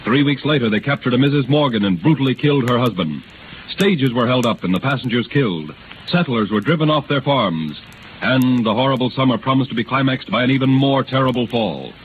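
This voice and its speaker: A man's voice: mid-range voice, animated, brisk, noisy background. Solemn 1930s radio narrator, tinny male voice. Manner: solemn, theatrical, formal delivery.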